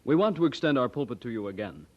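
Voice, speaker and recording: Polite, mid-pitched church gentleman. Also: very animated. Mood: polite, hopeful. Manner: measured, formal, deferential. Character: polite, worried church representative, a sober gentleman. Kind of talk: radio drama acting. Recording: dull old radio drama recording.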